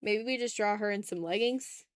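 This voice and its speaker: Female art streamer from the American South, mid-pitched, loose chatty delivery with playful exasperation, very dry recording. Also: normal speed, no echo. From Southern United States. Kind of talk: casual livestream chat while drawing.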